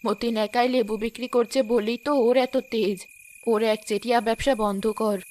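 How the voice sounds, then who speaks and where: A wise mother of the village leader gives firm orders in a slightly high voice, as a woman acts her out. She sounds sure and commanding. It is set in a storybook cartoon. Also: fairly even intonation, normal speed, a little mellow, natural voice. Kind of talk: cartoon voice acting.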